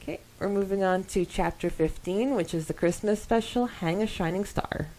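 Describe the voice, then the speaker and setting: A woman hosting a podcast, chatting casually and reading listener comments aloud in an animated way. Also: mid-range voice, normal speed, rough recording. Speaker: podcast host.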